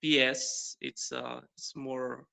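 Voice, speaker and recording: Slow, gravelly man from Morocco. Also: mid-range voice, little pitch movement, pushed and bright. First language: Arabic. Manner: hesitant, many fillers and restarts, laughs often. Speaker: primary school teacher practicing English. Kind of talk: casual conversation practice.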